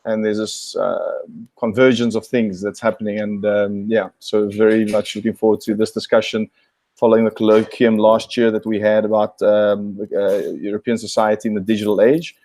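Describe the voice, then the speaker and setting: A man who grew up in South Africa speaks as a guest over a video call. His voice is low and mellow, and he works through his points slowly, with frequent "uh"s and restarts. The sound is dry and close. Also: flat delivery, no room sound. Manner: hesitant, many fillers and restarts.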